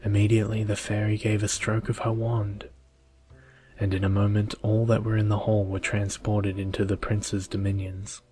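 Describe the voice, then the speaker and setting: A man reading a bedtime story in a soft, hushed, gentle and measured ASMR style. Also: lowish voice, little pitch movement, breathy edge, faint music behind.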